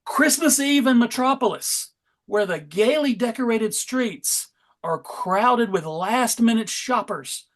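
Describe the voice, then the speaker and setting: A man's voice: very high-pitched, lively intonation, takes it slowly, fairly bright tone. A man hosting and narrating a dramatic comic-book table read with wry, tongue-in-cheek asides. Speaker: host and narrator.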